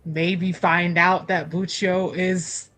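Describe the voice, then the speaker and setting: A woman's voice: lowish voice, level tone, slow pace, a bit bright. A woman chatting casually about her tabletop character in a breezy, joking way. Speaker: player on an actual-play tabletop show.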